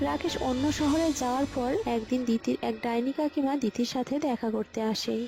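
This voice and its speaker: Casting: female story narrator, very high voice, loud music bed. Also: a little fast, creaky.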